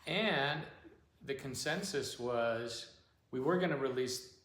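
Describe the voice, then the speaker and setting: A man's voice: medium pitch, slow, fairly bright tone. A man co-hosting a casual livestream, rambling and reading out viewer comments. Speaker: singer and co-host. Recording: echoey room.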